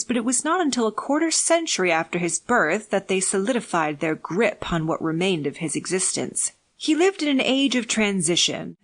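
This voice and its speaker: A woman reads scripted fiction as an audiobook narrator. Her pitch sits in the middle range, and her delivery is measured and deliberate.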